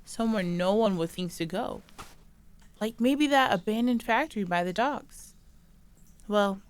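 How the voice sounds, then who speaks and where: A woman's voice: medium pitch, unhurried, smooth, close to an everyday voice. A woman playing a supervillain who is secretly worried for her rival, thinking aloud expressively in an audio drama. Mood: pensive, concerned. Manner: expressive, talks to herself.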